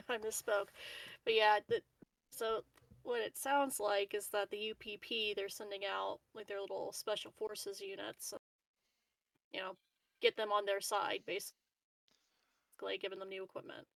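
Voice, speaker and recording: A woman's voice: medium pitch, little pitch movement, normal speed, creaky, pauses often, fairly bright tone, no echo. A woman playing a tabletop roleplaying game, thinking aloud in loose, casual, tentative conversation with the group.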